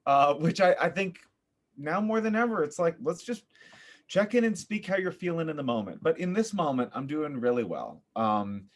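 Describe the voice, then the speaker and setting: A man speaking as a podcast guest in casual, reflective conversation, earnest and thoughtful with halting phrasing. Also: fairly high pitch, expressive. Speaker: podcast guest, theater director and voice coach.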